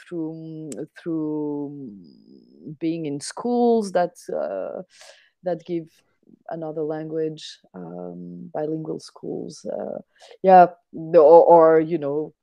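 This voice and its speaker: Middle-aged woman, native Portuguese speaker, warm low tone, halting delivery. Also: gravelly, no echo. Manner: hesitant, many fillers and repeated words. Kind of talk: casual conversation.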